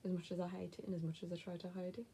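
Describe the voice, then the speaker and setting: A woman's voice: fairly low pitch, little pitch movement, racing, mellow, slight background noise. A woman in her thirties with a West Midlands accent, chatting casually to camera as she answers viewer questions, blunt and with whispered asides. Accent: West Midlands English. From England.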